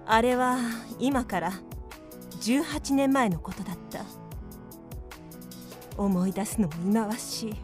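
A woman's voice: on the high side, animated, slow, pauses often, music in the background. A woman playing a worried mother and devoted wife in an earnest, emotive radio drama. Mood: grave.

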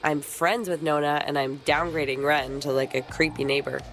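A woman with an American accent playing a caustic, domineering teenage mean girl in a video game, sarcastic, deadpan and cutting. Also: low-pitched, normal speed, slight background noise. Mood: sardonic, deadpan. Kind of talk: naturalistic video game acting.

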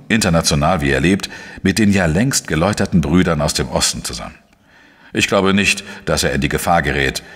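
Deep, husky male investigative journalist. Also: fairly bright tone, in a large hall, exaggerated voice. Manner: measured, explanatory, matter-of-fact. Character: shrewd, dry male investigative journalist, narrating his case. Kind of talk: German crime radio play, narration and dialogue.

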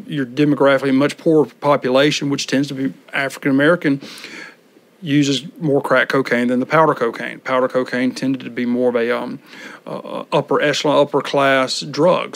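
A man explaining in an interview, halting and correcting himself as he goes. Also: medium pitch.

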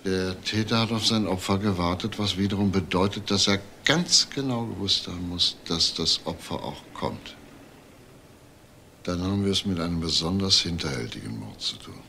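An actor playing a methodical middle-aged police detective, deliberate and analytical in a crime drama. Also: male voice, sits low, animated, gravelly, pushed and bright, slight background noise, heavily acted.

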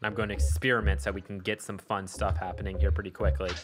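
A man's voice: fairly low pitch, little pitch movement, fast talker. A man hosting a casual music production tutorial, thinking aloud informally as he demonstrates. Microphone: voice-over on a screen recording. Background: electronic music and loops.

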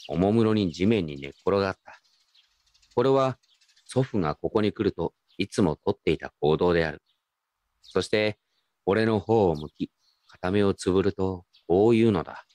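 A man's voice: low voice, expressive, measured pace, long pauses, no room sound. A man playing a wry, nostalgic grown grandson, telling his story casually and reflectively in a radio drama. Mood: confident. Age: adult. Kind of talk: first-person radio drama narration and dialogue.

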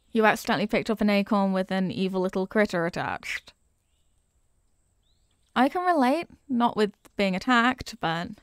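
A woman playing a young, eager and kindly apprentice witch in an ASMR roleplay, chatty, teasing and expressive as she talks straight to the listener. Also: medium pitch, smooth, dry recording, clean studio sound, lightly acted. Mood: amused, puzzled. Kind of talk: ASMR character roleplay, scripted.

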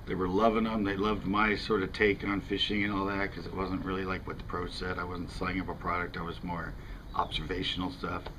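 A man's voice: deep voice, level tone, brisk, sharp tone, a little hiss or hum. A middle-aged American man in his fifties, a fishing channel host talking casually to camera in a rambling, conversational way. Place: outdoors in front of a house window.

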